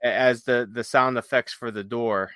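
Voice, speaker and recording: A man's voice: mid-range voice, fairly even intonation, moderate pace, a bit bright, no echo, clean studio sound. A man co-hosting a podcast in casual, enthusiastic, rambling explanations, sometimes reading from notes. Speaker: podcast co-host. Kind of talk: casual chat with some read-aloud notes.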